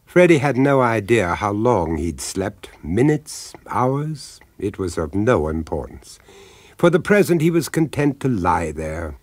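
An actor narrating a radio horror drama as a grave, ominous storyteller, with deliberate, suspenseful pauses. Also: male voice, on the low side, big pitch swings, a little mellow, no echo.

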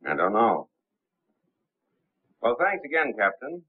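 A man's voice: mid-range voice, sing-song, slow, breathy edge, heavily acted. An actor in his thirties playing a polite, formal man posing as a lawyer in a 1930s film. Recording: tinny 1930s film soundtrack.

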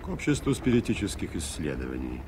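An actor playing a polite, well-informed gentleman in a Soviet radio play, speaking in a measured, explanatory way. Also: deep voice, big pitch swings. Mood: earnest, explanatory. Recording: noisy 1980s radio play recording.

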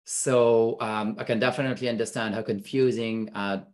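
A man's voice: fairly low pitch, steady tone, normal speed, darkish tone. Cheerful French man on a video call. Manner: upbeat, laughing, casual with fillers. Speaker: insurance company founder, panel guest. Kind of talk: webinar panel talk. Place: indoor office. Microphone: computer mic on video call.

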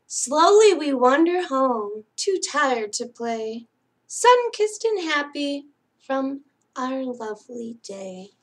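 A middle-aged woman hosting a children's story time, speaking and reading aloud in a gentle, singsong way to young children. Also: sits high, very animated, takes it slowly. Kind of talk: children's picture-book read-aloud. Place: small indoor space hung with curtains and string lights.

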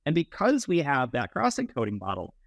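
A man's voice: mid-range voice, huge pitch swings, fairly quick, creaky, dry recording, studio quality. A middle-aged man explaining technical matters as an interview guest on a video call. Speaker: interview guest, software founder. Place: small plain room indoors, video call. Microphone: earbuds on a video call.

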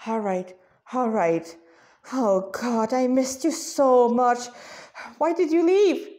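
A woman's voice: fairly high pitch, slow pace, dark tone. A woman voicing an anxious, devoted young man in a story, stammering and pleading.

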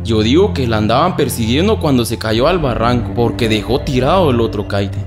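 Man acting as an excitable villager, very animated. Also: medium pitch, fairly quick, close to an everyday voice. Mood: eager. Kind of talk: radio soap opera acting. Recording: radio drama with music underneath.

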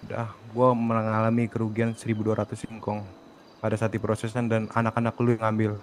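A man's voice: on the low side, completely flat delivery, fairly quick, slight background noise. A man arguing insistently in character during an online role-play game. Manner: argumentative, insistent. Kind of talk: in-character argument in a multiplayer game.

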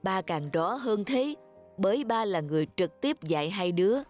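A woman playing a calm, firm middle-aged father and school principal in a cartoon, measured and admonishing. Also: mid-range voice, close to an everyday voice. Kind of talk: animated cartoon voice acting. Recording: clear music behind the voice.

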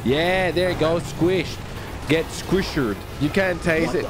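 A man hosting a gaming video, giving excited, playful and boastful commentary full of exclamations. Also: on the high side, slow, background noise. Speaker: gaming video host and player. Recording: music behind the voice. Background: music.

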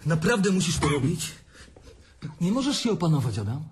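An actor playing a sober, disapproving brother who scolds a drunk sibling in a radio drama. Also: male voice, fairly high pitch, sing-song, brisk, husky, heavily acted. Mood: reproachful. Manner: reproachful, dry.

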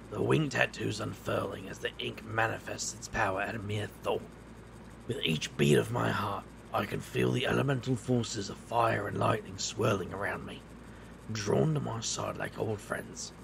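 Very gravelly, wry street magician played by a man. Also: fairly low pitch, background noise. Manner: wry, sardonic. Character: wry, reckless street magician, male, narrating his own tale. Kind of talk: first-person audio drama narration and dialogue.

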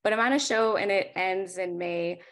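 A woman's voice: mid-range voice, moderate pace, fairly bright tone. A woman in her forties speaking candidly and conversationally as she presents her photography work on a video call. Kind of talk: informal slide presentation.

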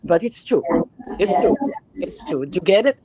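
A woman in her eighties from Israel with a Bronx accent, lecturing a reading group over a video call in an animated, emphatic way, repeating phrases for effect. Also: medium pitch, normal speed, slightly rough, dry recording. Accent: Bronx New York. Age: 80s. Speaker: guest lecturer. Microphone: webcam on video call, close to the face.

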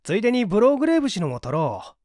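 A man voicing a methodical gentleman detective in an audiobook, assured and analytical as he explains. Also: sits high, wildly swooping pitch, very fast, no echo, studio quality, pitched above the performer's own voice. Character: confident, methodical gentleman detective in a mystery story.

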